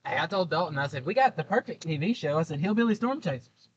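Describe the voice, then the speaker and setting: Husky storm chaser. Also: male voice, fairly high pitch, lively intonation, quick pace, muffled recording. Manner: chatty, joking, easygoing. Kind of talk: casual livestream chat while driving. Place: inside a car.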